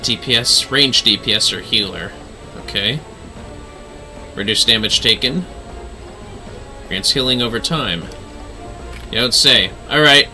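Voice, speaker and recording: A man's voice: medium pitch, a little slow, pauses often, sharp tone, soft background music. A man livestreaming a video game, chatting casually and thinking aloud in muttered asides to himself. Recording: faint background noise.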